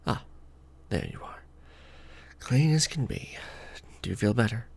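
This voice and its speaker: Casting: male actor as brooding, protective vampire lord for ASMR roleplay; low creaky voice, hushed formal delivery with sweeping intonation. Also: big pitch swings, full character voice. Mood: tender, attentive. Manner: formal, archaic wording, slow and hushed. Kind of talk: ASMR romantic roleplay, soft close speaking.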